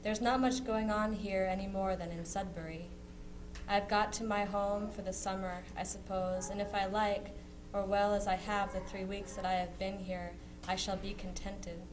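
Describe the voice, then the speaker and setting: A woman's voice: mid-range voice, little pitch movement, moderate pace, natural voice, rough recording. A woman playing a contented young nineteenth-century sister, reading her letter aloud. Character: contented young 1860s woman writing cheerfully to her brother. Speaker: amateur reader in a historical society dramatization.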